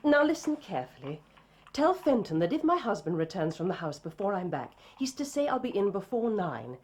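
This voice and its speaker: A woman's voice: medium pitch, big pitch swings, brisk, a little mellow, slightly rough. An actress playing an imperious, impatient upper-class lady in a period radio play, haughty and snapping. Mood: commanding, impatient. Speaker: actress in an audio drama.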